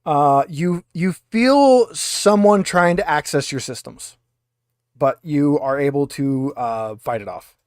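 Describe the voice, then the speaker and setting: A man running a tabletop roleplaying game as game master, speaking haltingly as he thinks aloud. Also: on the high side, a little mellow, clean tone, dry recording, clean studio sound.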